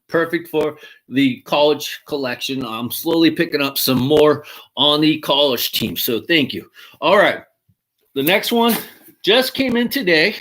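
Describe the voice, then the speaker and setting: A man in his thirties or forties, excitable, chatting casually as he shows off hats from his collection and keeps calling them awesome. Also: a little high. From Mexican heritage. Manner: excitable, swears casually, repeats "freaking awesome". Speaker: hat collector vlogger. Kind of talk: casual unboxing chat.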